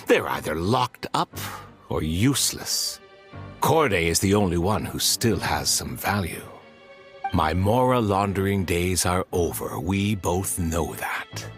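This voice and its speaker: An actor playing a ruined, vengeful crime boss in a video game, speaking in a theatrical, menacing, melodramatic way. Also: male voice, low voice, a bit bright, heavily acted. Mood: bitter, ranting. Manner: melodramatic, menacing, drawn-out delivery. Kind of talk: video game cutscene acting.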